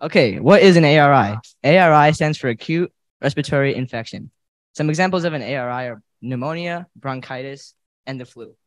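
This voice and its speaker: A man's voice: on the high side, fairly quick, no echo. A teenage boy from the Chicago suburbs reading his part of a student health presentation, plain and a little hesitant. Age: teens.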